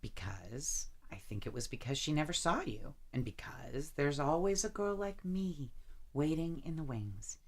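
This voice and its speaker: An actress playing a lovesick, anxious and reproachful lover in a naturalistic audio drama, halting and emotional. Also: female voice, low voice, animated. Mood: wary, accusing.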